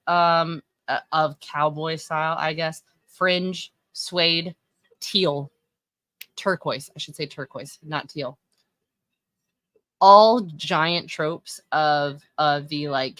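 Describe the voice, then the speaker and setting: A woman in her thirties with a Texan accent talks through her opinions in a loose, conversational way, often stopping to correct herself. Her voice sits on the low side. The recording is dry and close, with no room sound. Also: a bit bright, no room sound. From Texas. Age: 30s. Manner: chatty, opinionated, self-correcting. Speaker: streamer reviewing wrestling fashion. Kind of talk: casual live-stream commentary.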